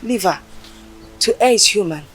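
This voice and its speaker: An actress playing a calm, forgiving wife who soothes and pleads for mercy. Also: female voice, medium pitch, moderate pace, faint music behind, close to an everyday voice. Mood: soothing.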